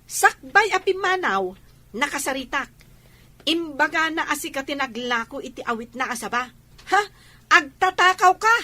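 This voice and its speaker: An insistent village woman played by an actress in an Ilocano comedy drama. Her voice is high and bright, with an Ilocano accent and a plain, aggrieved way of talking. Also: expressive, normal speed. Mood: accusing.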